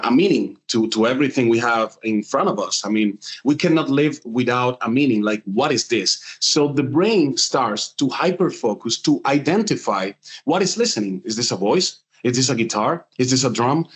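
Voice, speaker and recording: A man in his forties from the Dominican Republic with a Dominican Spanish accent, a guest in an interview who explains things with many pauses and restarts. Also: medium pitch. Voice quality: hesitant, many fillers and restarts. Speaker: guest, voiceover artist and music producer. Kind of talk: casual interview talk.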